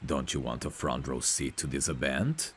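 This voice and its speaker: A man's voice: extremely low pitch, animated, moderate pace, a bit bright, a little hiss or hum. A man playing a cocky, smug prizefighter in an ASMR roleplay audio drama, with a boastful, teasing, self-assured manner. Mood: smooth, teasing. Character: cocky, smug male prizefighter, proud of his looks. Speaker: voice actor.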